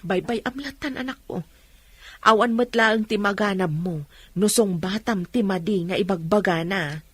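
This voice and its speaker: A woman plays a humble mother with a breathy, middle-pitched voice, recorded close and dry. She is patient and soothing, in radio drama style. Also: moderate pace, no room sound, lightly acted. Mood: soothing, gently chiding. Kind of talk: Ilocano radio comedy-drama acting.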